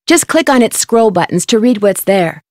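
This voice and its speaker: A woman playing a curious, upbeat young female detective in a video game, speaking clearly in a polite, friendly, inquisitive way. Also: medium pitch, sing-song, moderate pace, no room sound, clean studio sound, lightly acted. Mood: cheerful, instructive. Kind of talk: scripted video game voice acting.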